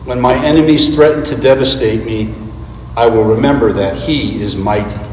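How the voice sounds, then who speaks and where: A man giving an earnest, deliberate dramatic reading of a script as a church readers theater performer. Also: medium pitch, a little mellow. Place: large reverberant church space. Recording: echoey hall, distant mic, background noise.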